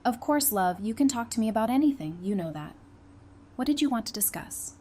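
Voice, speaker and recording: A woman acting an affectionate, gently teasing girlfriend in an audio drama, in a casual conversational manner. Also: a little high, animated, brisk, lightly acted. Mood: tender, warm. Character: affectionate, teasing girlfriend in a post-apocalyptic romance. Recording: noticeable background noise.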